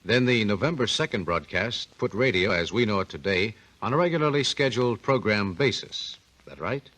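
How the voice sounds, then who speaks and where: Folksy 1940s radio host asking questions. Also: male voice, lowish voice, expressive, fairly quick, fairly bright tone, rough recording. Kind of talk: scripted 1940s radio talk.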